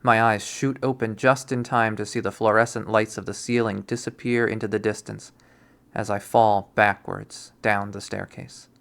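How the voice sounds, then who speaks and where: A man's voice: lowish voice, steady tone, moderate pace, vocal fry, a bit bright. A young man reading a visual novel aloud in first person, with a dry, wry delivery.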